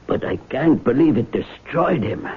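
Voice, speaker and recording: An actor playing a grave, blunt old family lawyer with a formal, measured manner in a 1970s radio drama. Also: male voice, mid-range voice, expressive, husky. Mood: solemn, blunt. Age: older. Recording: narrow phone-like old radio broadcast.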